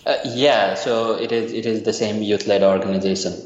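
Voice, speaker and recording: A man's voice: lowish voice, steady tone, moderate pace, muffled recording. Hesitant man from Nepal, roomy sound. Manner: hesitant, many fillers and restarts. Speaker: guest, university lecturer. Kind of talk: podcast interview answers.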